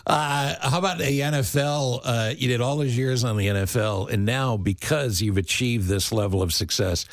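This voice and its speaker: A male morning radio host keeps the banter moving at a quick, upbeat clip. His mid-pitched voice is close and dry in the studio. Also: dry recording.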